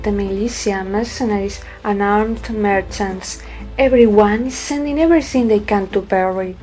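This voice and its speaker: A woman acting in a sci-fi audio drama as a resolute, stubborn pregnant pilot, speaking calmly and firmly. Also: mid-range voice, natural voice, low-quality recording. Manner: deliberate, firm.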